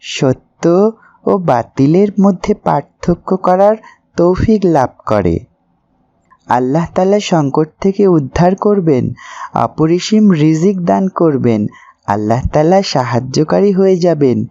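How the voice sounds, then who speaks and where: A man's voice: a little high, expressive, a little slow, vocal fry, dark tone, dry recording, lo-fi sound. A man voicing an earnest, pious young man in a moral cartoon, speaking didactically. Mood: earnest, instructive. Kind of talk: cartoon voice acting, moral preaching.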